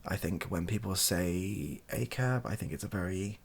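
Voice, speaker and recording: A soft-spoken British man gives an improvised monologue in a low, husky voice with plenty of fry. He rambles and hesitates as he works through his opinions, circling back and qualifying himself. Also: normal speed. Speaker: online video creator.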